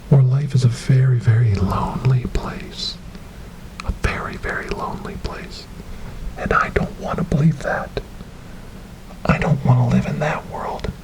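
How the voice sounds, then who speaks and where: A man performing an ASMR monologue as a gentle, grieving storyteller in a witch-trial roleplay, speaking softly and haltingly in a breathy, often whispered voice close to the microphone. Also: mid-range voice, low-quality recording. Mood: earnest, consoling. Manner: slow, halting, earnest, soft-spoken. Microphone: close binaural microphone.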